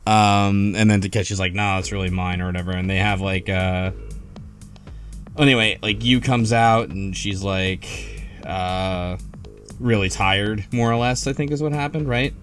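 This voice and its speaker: A man's voice: on the low side, moderate pace, faint music behind. A man hosting a casual group discussion, talking in a rambling, hesitant way with lots of fillers. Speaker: podcast host.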